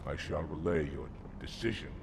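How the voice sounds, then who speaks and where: An actor playing a stern, proud alien warrior commander in a game cinematic, speaking in a formal, clipped way. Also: male voice, very deep voice, lively intonation, normal speed, vocal fry, background noise, full character voice. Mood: restrained deference. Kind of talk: video game cinematic acting. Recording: echoing hall with music behind.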